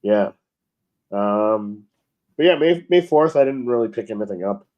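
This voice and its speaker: A young man co-hosting a podcast in casual chat, slightly hoarse from losing his voice, relaxed and hesitant with frequent ums. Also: fairly low pitch, unhurried, lo-fi sound. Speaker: podcast co-host.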